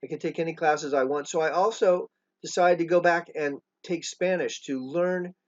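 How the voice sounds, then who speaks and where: An older man presenting his training program to schools in an earnest way, pausing and restarting often. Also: on the high side. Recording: dull lo-fi recording.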